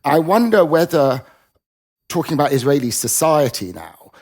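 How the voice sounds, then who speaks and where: A man's voice: on the high side, big pitch swings, moderate pace. A man co-hosting an interview, carefully framing a question for the guest. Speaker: podcast co-host.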